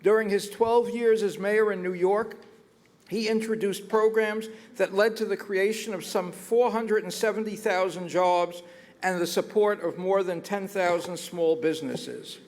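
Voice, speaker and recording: High-voiced rabbi speaking in a hall. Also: male voice, a little slow, a little mellow. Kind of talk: speech with sermon cadence. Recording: reverberant hall.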